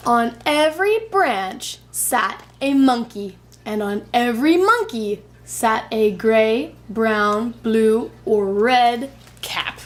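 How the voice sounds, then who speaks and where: A woman preschool teacher reading a children's storybook aloud in an expressive, deliberate, rhythmic storytelling way. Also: a little high.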